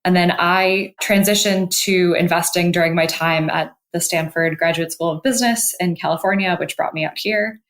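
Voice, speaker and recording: A woman from Wisconsin answering interview questions, her voice on the low side. She speaks fluently and in a composed, articulate way, as a guest explaining her work. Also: steady tone, normal speed, hardly any pauses, fairly bright tone. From Milwaukee, Wisconsin. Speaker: podcast guest, venture investor.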